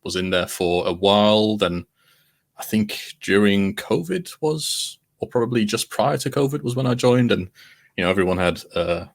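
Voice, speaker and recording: A man chatting as a podcast guest, laid-back and self-deprecating, often trailing off quietly. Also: lowish voice, expressive, normal speed, vocal fry. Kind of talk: casual chat.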